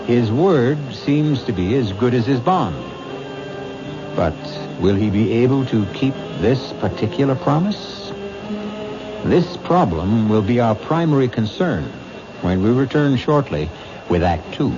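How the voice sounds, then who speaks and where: A man hosting an old radio mystery, narrating from a script in a measured, suspenseful, dramatic way. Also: medium pitch, sing-song. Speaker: host and narrator. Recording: dull old radio recording. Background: music.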